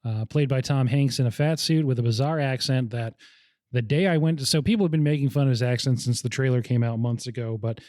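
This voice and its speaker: A young man hosting a casual movie podcast, chatty and quick to laugh, keen on film history. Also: mid-range voice, a little mellow, dry recording, clean studio sound. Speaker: podcast host.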